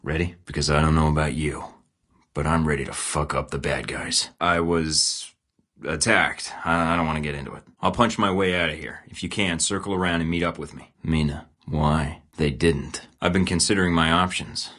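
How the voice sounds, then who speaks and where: A man's voice: a bass voice, fairly even intonation, moderate pace, a bit bright. An actor playing a blunt, sarcastic male spy agent in video game dialogue, with dry, clipped lines. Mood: terse.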